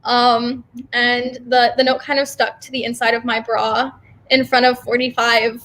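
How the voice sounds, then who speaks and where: A woman guest chatting casually, with halting pauses as she explains things. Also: on the high side, little pitch movement, a bit bright, rough recording. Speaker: guest from a theater company.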